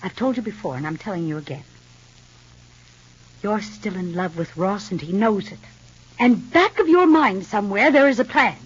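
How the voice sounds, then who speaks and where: Jealous young American woman, sarcastic, very varied pitch, husky, dull vintage radio soap recording. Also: expressive, moderate pace, pauses often. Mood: accusing. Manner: sarcastic, cutting. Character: bitter, jealous young woman defying her elder. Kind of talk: 1950s radio soap acting.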